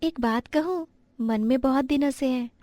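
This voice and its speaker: Earnest, gentle young daughter-in-law, played by a woman, very high pitch, dry recording, storybook drama. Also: normal speed, no echo, lightly acted. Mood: gentle, sincere. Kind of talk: dramatized moral story acting.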